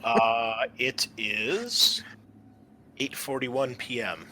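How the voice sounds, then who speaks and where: A man narrating a tabletop horror game as game master, pausing often as he describes the scene. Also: medium pitch, bright, forceful tone, slight background noise.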